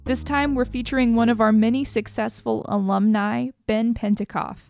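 A woman's voice: a little high, steady tone, moderate pace, vocal fry, a little mellow, faint music behind. A woman hosting an interview podcast, presenting in a scripted narration style.

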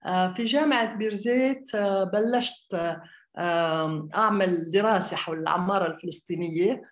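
A woman's voice: fairly low pitch, brisk. A woman raised in Jordan, an architect giving a conversational public talk, hesitant, with many fillers and restarts. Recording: echoey room.

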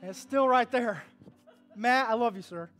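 Man in his thirties, pastor, high voice, lively preacher, faint music under him. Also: normal speed. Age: 30s. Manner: animated preaching, swinging from hushed to loud; call-and-response with the congregation. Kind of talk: sermon.

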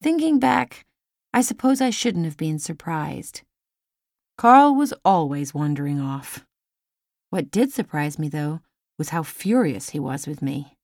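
A woman's voice: deep voice, expressive, a little slow, darkish tone, no echo, studio quality. A woman reading a first-person children's story as an audiobook narrator.

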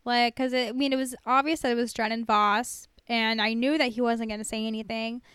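A woman with a high, smooth voice chats casually as a podcast co-host. She talks informally, swears freely and leans on "like". The sound is very dry, with no room echo. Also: steady tone, fairly quick, a bit bright. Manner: informal, profane, heavy use of "like". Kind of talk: casual chat reviewing a TV episode.

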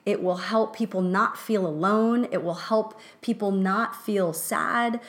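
A woman's voice: mid-range voice, a little slow. A middle-aged woman co-hosting a podcast, talking casually with many restarts and fillers. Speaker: podcast co-host.

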